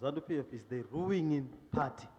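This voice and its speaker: A man's voice: a little high, fairly quick, long reverb tail, a crowd in the background. A man on an opposition panel, mocking the ruling party in short, punchy repeated lines. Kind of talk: political debate.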